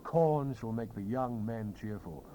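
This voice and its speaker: A man's voice: on the low side, unhurried, warm tone. A man giving a solemn, declamatory and theatrical dramatized reading of King James scripture on a hissy old cassette recording. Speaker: scripture narrator. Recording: hissy 1970s cassette rip.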